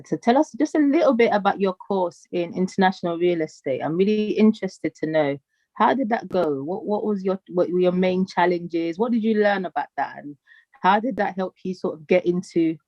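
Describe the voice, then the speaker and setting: A woman's voice: fairly low pitch, animated, normal speed, a little mellow, no echo, dull audio. A woman hosting a live radio interview, effusive and playful, heaping praise on her guest. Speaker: radio show host.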